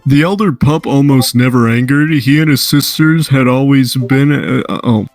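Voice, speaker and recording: A man's voice: mid-range voice, moderate pace, dry recording, soft background music. A man casually reading a story aloud, breaking off now and then to chat and swear. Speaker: amateur fan fiction reader.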